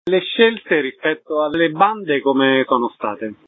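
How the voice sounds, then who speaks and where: A man's voice: fairly high pitch, sing-song, slow pace, no echo. A man hosting a radio interview, putting short questions and making announcements. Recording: dull, noisy radio interview audio with clicks.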